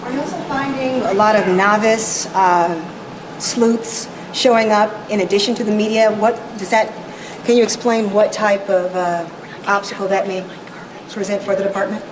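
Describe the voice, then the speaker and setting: A woman's voice: medium pitch, fairly even intonation, normal speed, recorded from a distance. An echoey, noisy room carries a woman's voice from some way off the mic. She is a reporter with a mid-range voice, posing questions at a press conference.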